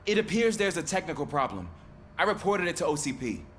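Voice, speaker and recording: Earnest picked-on cop in a game, highish animated voice, keeps explaining himself. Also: male voice, a little fast, slight background noise. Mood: defensive. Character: earnest, picked-on male police dispatcher. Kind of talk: video game voice acting.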